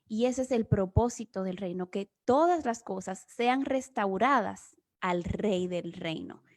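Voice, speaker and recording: A woman's voice: medium pitch, expressive, a little slow, darkish tone. A woman from the Dominican Republic with a Dominican accent, giving an earnest, explanatory Bible teaching as guest speaker. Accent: Dominican Spanish. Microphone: remote video session.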